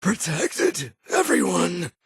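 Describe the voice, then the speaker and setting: An actor voicing a tormented, paranoid figure in a video game, raving in broken fragments with a raspy, creaky voice. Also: mid-range voice, very animated, slow pace, no echo, full character voice. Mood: frantic, anguished. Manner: broken, muttered fragments bursting into cries. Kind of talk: video game character dialogue.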